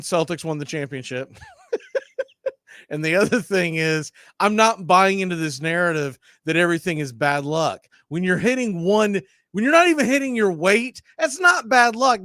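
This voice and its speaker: Sports stream host, male, slightly high register, opinionated and casual, often self-correcting, very dry audio. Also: a bit bright, dry recording. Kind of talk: casual live sports talk.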